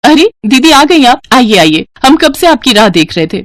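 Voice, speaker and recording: A woman's voice: high-pitched, big pitch swings, moderate pace, background noise, voice pushed up. A woman voicing a chatty, breezily over-polite young housewife and sister-in-law in a cartoon. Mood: welcoming, breezy.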